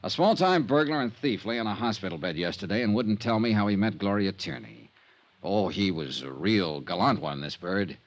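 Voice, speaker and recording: An American actor playing a hard-boiled, sardonic insurance investigator in 1950s radio drama, with clipped lines and dramatic pauses. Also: male voice, on the low side, lively intonation, close to an everyday voice, low-quality recording. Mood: accusing, sardonic. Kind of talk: 1950s radio detective drama acting and narration. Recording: vintage radio recording with music.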